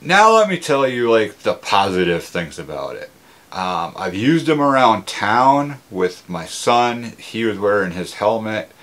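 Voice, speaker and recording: A man's voice: fairly low pitch, big pitch swings, a little slow, fairly bright tone, a little hiss or hum. A man talks casually to viewers. His delivery is conversational and plain-spoken, like an everyday product reviewer. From Minnesota, United States. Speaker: motorcycle gear reviewer.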